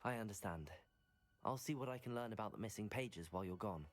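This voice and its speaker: A man's voice: fairly low pitch, brisk, faint music behind, close to an everyday voice. A man playing an earnest, polite teenage wizard student in a fantasy video game, composed in manner. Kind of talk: video game character acting.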